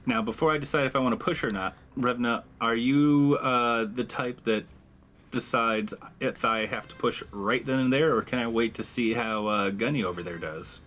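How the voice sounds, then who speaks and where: A man's voice: mid-range voice, normal speed, a bit bright, faint music behind. A man chatting casually and jokingly as a player in a tabletop roleplaying game.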